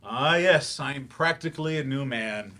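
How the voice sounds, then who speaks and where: An earnest male English teacher with a wry streak , played by a man in a stage reading. His voice sits at an ordinary middle pitch, in the manner of live theatre dialogue. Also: a little slow, sharp tone. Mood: earnest, subdued. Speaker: actor in a staged play reading.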